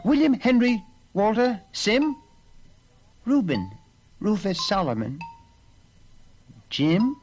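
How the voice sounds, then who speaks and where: A man voicing a poor young woman turned queen, frightened and tearful, in a high pleading voice. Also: a little mellow, lo-fi sound, heavily acted. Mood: guessing frantically.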